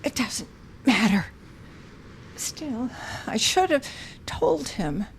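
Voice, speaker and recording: A woman playing a moody, guilt-ridden, fragile widow in an audio drama, halting and hushed, often slipping into whispers. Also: fairly high pitch, very animated, slight background noise, full character voice. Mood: regretful, shaken.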